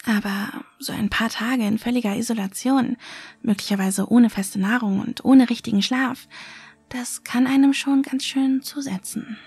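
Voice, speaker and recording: Woman acting a wary, creaky-voiced young woman. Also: a little high, fairly even intonation, moderate pace, darkish tone, dry recording, faint music behind. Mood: sober, measured. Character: wary, indignant young female survival-show contestant. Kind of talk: German audio horror drama acting.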